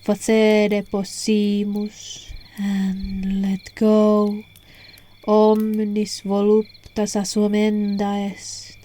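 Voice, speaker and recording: Slow, hushed alluring witch played by a woman. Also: mid-range voice, monotone. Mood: soothing, hypnotic. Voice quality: soft, breathy, often whispered. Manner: soothing, hushed, unhurried, coaxing. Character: alluring witch casting a sleepy hypnotic spell, gentle, coaxing. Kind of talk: ASMR roleplay, slow hypnotic incantation. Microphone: phone. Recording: lo-fi phone microphone recording.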